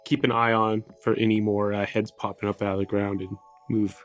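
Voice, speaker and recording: A man's voice: lowish voice, little pitch movement, fairly quick. A man chatting casually as a player in a tabletop roleplaying game. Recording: music playing behind the voice. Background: music.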